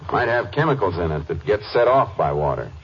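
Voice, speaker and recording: An American actor playing a calm, methodical Texas Ranger in a 1950s radio crime drama, terse and measured. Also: male voice, low voice, a little hiss or hum. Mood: calm, probing. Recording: muffled old radio recording.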